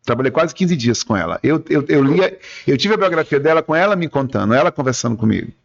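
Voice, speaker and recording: A man's voice: on the high side, expressive, quick pace, vocal fry, lo-fi sound. A man who works in advertising and entertainment, talking earnestly and at length in a radio interview, often restarting his sentences. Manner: earnest, explanatory, rambling with restarts. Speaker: guest, advertising and entertainment businessman.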